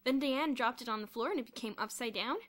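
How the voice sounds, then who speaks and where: A woman voicing a giddy, hysterical teenage schoolgirl with a strained, croaky, gushing delivery in a storybook. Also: extremely high pitch, normal speed, voice pushed up.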